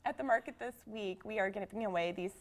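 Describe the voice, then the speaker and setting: A woman's voice: mid-range voice, fast talker, over a noisy crowd. A young woman guest on a morning TV show, chatting with many ums in a studio. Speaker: farmers market guest. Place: TV studio set.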